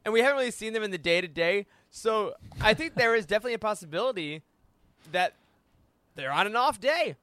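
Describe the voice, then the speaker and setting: A man chatting casually on a roundtable podcast, animated and given to jokey riffing. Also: sits high, a little fast. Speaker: podcast panelist. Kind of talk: casual roundtable discussion with acted asides.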